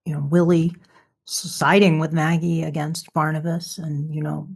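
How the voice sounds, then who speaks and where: A man's voice: a little high, level tone, normal speed, creaky. A man hosting a podcast, chatting casually with the guest he interviews.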